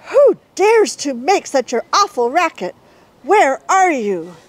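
A woman's voice: sits high, big pitch swings, slow pace, pitched above the performer's own voice, exaggerated voice. A woman voicing a fierce female wild animal in a storybook, challenging and indignant.

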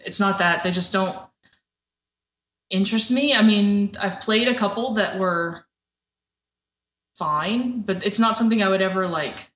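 A woman's voice: mid-range voice, unhurried, pauses often, fairly bright tone. A middle-aged woman chatting casually as a guest on an interview show. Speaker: podcast guest. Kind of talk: casual chat. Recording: roomy echo, faint background noise.

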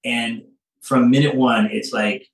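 A middle-aged man speaking earnestly and haltingly in an interview, reflecting as he goes with deliberate pauses. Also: medium pitch, fairly even intonation. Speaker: studio head being interviewed. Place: small office, on a video call. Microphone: phone some way off. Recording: roomy, distant phone microphone.

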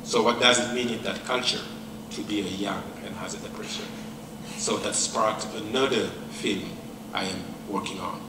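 A middle-aged man from Senegal, whose first language is not English, gives a personal lecture in a large hall, speaking in a measured, reflective way with many pauses. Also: fairly low pitch, far from the mic. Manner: reflective, measured, pausing often. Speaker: professor giving a lecture. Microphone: handheld mic, far camera. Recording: echoey hall mic with room noise.

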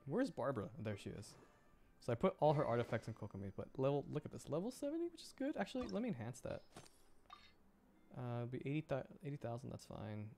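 A man with a medium-pitched voice streams a video game in a casual, conversational way. He mutters and thinks out loud to himself. There is some noticeable noise behind his voice. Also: very animated, fast talker, creaky. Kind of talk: casual livestream gameplay chat.

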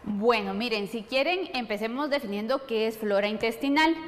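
A woman's voice: fairly high pitch, normal speed, soft background music. A middle-aged woman explaining things as a nutrition expert answering questions. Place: studio set. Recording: long hall reverb.

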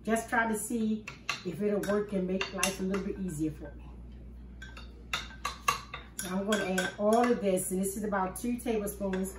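A woman's voice: mid-range voice, normal speed, long pauses, noisy background. An older woman hosting a home cooking video, explaining each step in a homey, easygoing way from her kitchen. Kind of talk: casual cooking demonstration. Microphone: far camera on the counter. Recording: roomy kitchen sound from a distance.

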